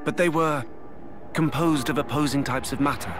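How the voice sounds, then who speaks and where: A man playing a solemn warrior-sage in a video game cutscene, speaking formally and with measured gravity. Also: a little high, music in the background, natural voice. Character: solemn, measured male sage-warrior explaining lost worlds.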